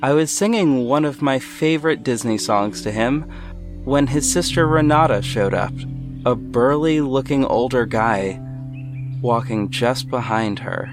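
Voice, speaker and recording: A man's voice: medium pitch, measured pace. A man narrating an audio drama in the first person, in a reflective storytelling style. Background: music under the voice.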